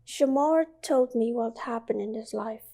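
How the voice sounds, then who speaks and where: A woman's voice: fairly high pitch, measured pace, vocal fry, dark tone. A woman playing a curious, earnest young student in an amateur radio drama, narrating and acting out her story. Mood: reflective, intrigued.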